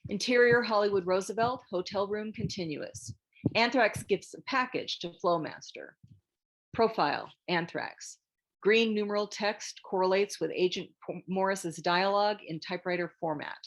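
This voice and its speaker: A woman's voice: medium pitch, normal speed, low-quality recording. A woman reading scripted screenplay narration, stage directions and scene headings as a narrator.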